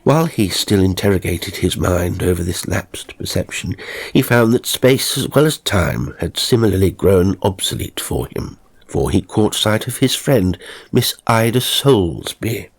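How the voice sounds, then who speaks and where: Deep, gravelly man narrating a story. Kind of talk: scripted ghost story narration.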